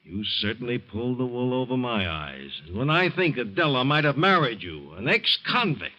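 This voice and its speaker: A man's voice: mid-range voice, sing-song, moderate pace, sharp tone. Stern rich father, middle-aged, formal, swooping intonation, dull old radio recording. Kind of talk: old-time radio crime drama acting.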